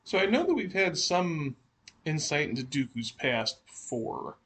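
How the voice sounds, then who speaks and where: A man co-hosting a podcast, speaking casually and in a measured way as he prompts discussion. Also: a little high, big pitch swings, fairly bright tone, slightly rough. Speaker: podcast co-host.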